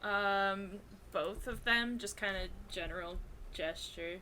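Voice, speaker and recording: A woman's voice: mid-range voice, takes it slowly, bright, forceful tone. A woman playing a tabletop game, chatting casually and improvising her lines. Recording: noisy room ambience.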